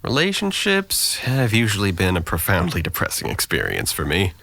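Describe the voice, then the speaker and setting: A man's voice: deep voice, wildly swooping pitch, moderate pace, gravelly, sharp tone, heavily acted. An actor playing a guarded, depressed young man who deflects with dry sarcasm in an audio drama. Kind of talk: naturalistic audio drama dialogue.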